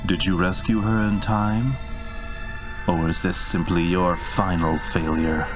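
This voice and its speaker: A man narrating a scripted sci-fi story in an ominous, measured, theatrical way. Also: deep voice, fairly even intonation, music in the background.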